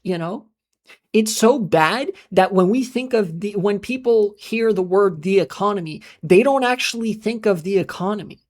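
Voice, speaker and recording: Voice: medium pitch, normal speed, darkish tone. An American live streamer in a casual, rambling monologue who swears often, trails off into filler sounds and answers chat messages. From United States.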